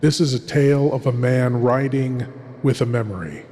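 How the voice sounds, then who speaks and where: A man performing as a solemn, theatrical horror host, introducing scary tales with portentous pauses in a cavernous reverberant sound. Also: medium pitch, warm tone, lightly acted. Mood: solemn, ominous. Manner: slow, portentous, deliberate pauses. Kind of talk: scripted horror anthology introduction. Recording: cavernous long reverb, faint noise.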